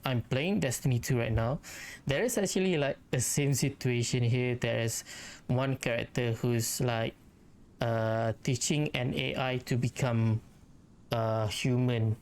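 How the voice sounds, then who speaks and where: A woman's voice: rumbling low, a little mellow. A woman gaming streamer giving casual, musing commentary with some hesitation as she plays.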